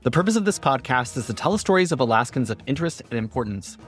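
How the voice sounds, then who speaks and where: A man hosting a podcast, reading a scripted introduction and asking interview questions in a measured way. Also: mid-range voice, very animated, a bit bright, soft background music. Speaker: podcast host.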